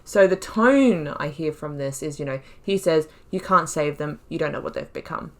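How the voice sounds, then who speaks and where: Low-pitched woman chatting through a game playthrough. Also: moderate pace. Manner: thinks aloud, informal and wry, reads dialogue options aloud. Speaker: gaming video host. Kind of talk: casual playthrough commentary with story analysis.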